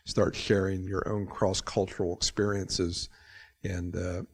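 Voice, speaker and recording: A man in the audience making a slow, hesitant comment, searching for words. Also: low voice, flat delivery, slightly rough. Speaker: audience member. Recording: distant from the mic.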